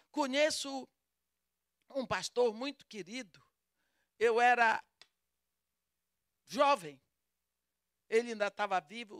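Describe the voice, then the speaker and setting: A woman's voice: medium pitch, fairly bright tone, no echo, clean studio sound. A woman with a Brazilian Portuguese accent preaching a sermon, retelling a story with dramatic voices for the quoted lines.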